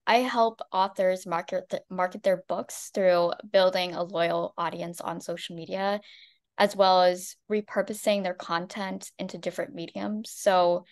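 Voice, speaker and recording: American woman, lowish pitch, earnest webinar co-presenter, video-call audio. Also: steady tone, measured pace. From United States. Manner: earnest, with some restarts. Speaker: social media marketer, co-presenter.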